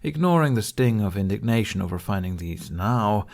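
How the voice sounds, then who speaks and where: A man's voice: on the low side, lively intonation, moderate pace, creaky, darkish tone. A man reading a fiction audiobook as its narrator, in a scripted storytelling style.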